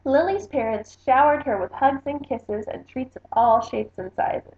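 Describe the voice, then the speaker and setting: A woman's voice: high voice, unhurried. A woman book vlogger reading a picture book aloud in a casual, chatty style. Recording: slightly dull, roomy home recording.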